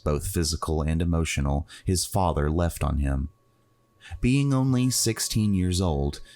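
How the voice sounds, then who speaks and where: A man with a very deep voice narrates a scripted fantasy tale. Music plays clearly behind his reading. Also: darkish tone.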